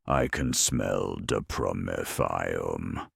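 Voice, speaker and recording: Guy doing a growly impatient ork boss, super deep and croaky, audio story. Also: drawn-out words, dry recording, studio quality, exaggerated voice. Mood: brooding menace. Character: growling, impatient ork warboss hunting enemies.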